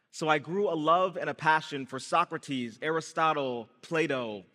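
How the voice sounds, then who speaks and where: A young man from Brazil, raised in Guyana, giving a lively startup-founder speech on stage. He tells his story with comic timing and talks straight to the crowd. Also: on the high side. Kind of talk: live stage speech with audience call and response. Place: large event hall before an audience. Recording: reverberant hall through a PA.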